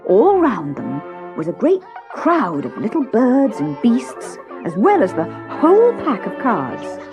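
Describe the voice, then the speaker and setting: Woman narrating a children's story, lively voice, slow and unhurried, faint music behind. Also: a little high.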